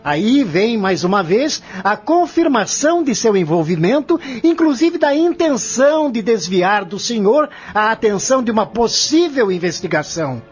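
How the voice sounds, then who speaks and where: A man's voice: sits high, very animated, moderate pace, hardly any pauses, husky, full character voice. A man playing a confident, relentless lawyer in an audio drama, arguing in a formal, articulate, declamatory way. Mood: methodical, confident. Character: confident prosecuting lawyer, relentless, methodical, formal courtroom orator. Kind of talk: audio drama acting, courtroom scene. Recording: dull lo-fi audio with music underneath.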